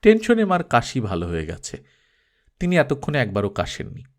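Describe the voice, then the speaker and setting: A man's voice: mid-range voice, huge pitch swings, moderate pace. A man reading a story aloud as an audiobook narrator, in a dramatic, scripted storytelling style.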